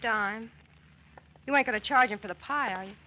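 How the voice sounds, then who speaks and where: An actress playing a sassy, chatty small-town American diner waitress in an old-time radio drama, with nosy, slangy banter. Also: female voice, a little high, moderate pace, natural voice. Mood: bossy, teasing. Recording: muffled, faintly noisy old radio recording.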